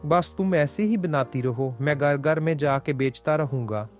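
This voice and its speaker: A man voicing an earnest, humble village farmer and husband in a Hindi cartoon story, with expressive delivery. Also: fairly high pitch, a little slow, close to an everyday voice. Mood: cheerful, eager. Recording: background music under the voice.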